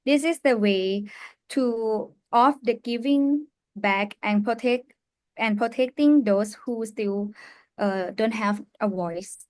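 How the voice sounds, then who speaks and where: A young woman from Thailand with a Thai accent, telling her personal story as a youth ambassador on a live panel, earnest and halting with many fillers. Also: on the high side, no echo. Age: young adult.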